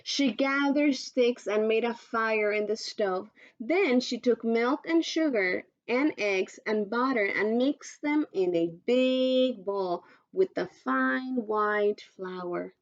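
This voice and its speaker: A woman teacher reading aloud to children in an instructive way, pausing to pose questions, on a dull recording. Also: on the high side. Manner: instructive, poses questions to listeners.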